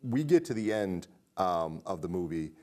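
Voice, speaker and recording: A man hosting a casual movie review podcast, talking conversationally and reading aloud with animated emphasis. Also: low voice, normal speed. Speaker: podcast host.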